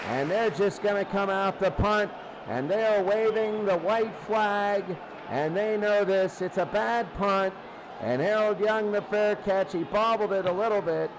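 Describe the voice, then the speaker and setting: A man doing play-by-play football commentary in a radio style, repeating phrases for emphasis. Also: high-pitched. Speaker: play-by-play sports announcer. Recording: clearly noisy sound.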